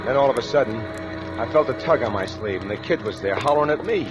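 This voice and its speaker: An actor playing a nervous killer on the run, narrating in tough colloquial talk in 1940s radio style. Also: male voice, mid-range voice, animated, fast, over loud music, lightly acted, poor audio. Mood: brooding, haunted. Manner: colloquial tough-guy talk with tense hesitations. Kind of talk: 1940s radio acting, first-person crime narration. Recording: old radio recording with musical underscore.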